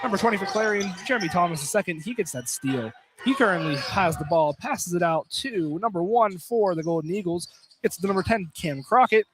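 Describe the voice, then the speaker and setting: A man's voice: high voice, animated, a little fast. A man doing live play-by-play basketball commentary on radio. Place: college basketball field house. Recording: clearly noisy live broadcast.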